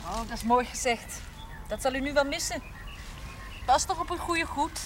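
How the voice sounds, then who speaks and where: A chaplain's careful, polite sister. Her voice is high and light, under strong hiss from an old TV broadcast. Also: animated, normal speed. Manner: polite, cautious. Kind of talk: 1970s TV drama acting. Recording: noisy old TV broadcast audio.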